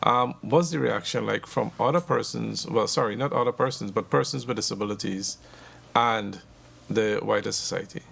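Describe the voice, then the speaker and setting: A man hosting an interview, asking measured questions and rephrasing them mid-sentence. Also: fairly low pitch, creaky, fairly bright tone, husky. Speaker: talk show host.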